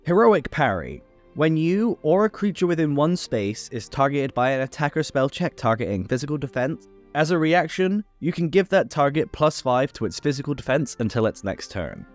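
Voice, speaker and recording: Lively man explaining game rules. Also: on the high side, moderate pace, faint music behind. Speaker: host of a tabletop game tutorial series. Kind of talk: scripted explainer narration.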